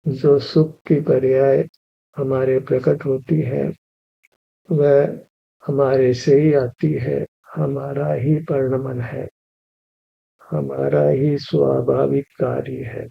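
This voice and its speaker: A man leading a guided meditation, giving slow, even spiritual instruction and repeating key phrases. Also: medium pitch, creaky, breathy edge. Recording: dull lo-fi recording.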